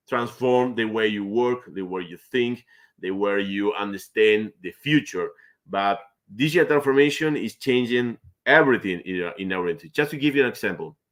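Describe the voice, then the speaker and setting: Male interview guest, Spanish native, middle pitch, talks in halting repeated bursts over a video call. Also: a bit bright. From South America. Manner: halting, many restarts and repeated words. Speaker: guest, finance manager.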